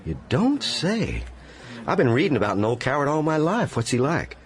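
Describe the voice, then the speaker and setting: An actor playing a folksy, bookish casino croupier with an American accent in a radio drama. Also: male voice, fairly high pitch, wildly swooping pitch, normal speed. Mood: confiding.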